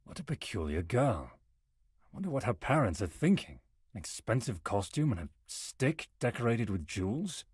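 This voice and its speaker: A man playing a weary, practical travelling merchant in a visual novel, with a husky voice and a wry, muttering manner. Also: medium pitch, very animated, moderate pace, darkish tone, no echo, clean studio sound. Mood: musing. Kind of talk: visual novel character acting.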